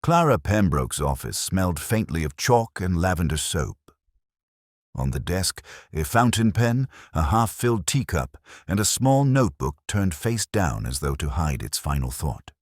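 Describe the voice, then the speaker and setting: Very deep brooding male narrator. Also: no echo, clean studio sound. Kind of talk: first-person detective story narration.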